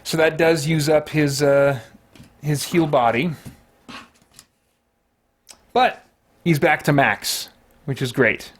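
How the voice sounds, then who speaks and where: A man with a slightly high voice hosts a solo tabletop game session. He gives casual running commentary, talking through each move out loud as he works out what to do. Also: steady tone, slow pace, long pauses. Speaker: host of a solo tabletop gaming stream.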